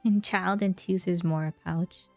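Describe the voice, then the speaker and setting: A woman's voice: fairly low pitch, fairly even intonation, measured pace, a little mellow, faint music behind. A woman game streamer giving casual commentary as she plays.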